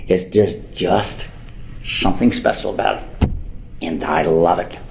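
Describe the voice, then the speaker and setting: A man's voice: sits low, measured pace, gravelly, slight background noise, low-quality recording. An older man from the United States giving an informal public speech as a mayor, rambling and earnest, repeating phrases for emphasis. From Norwood, United States.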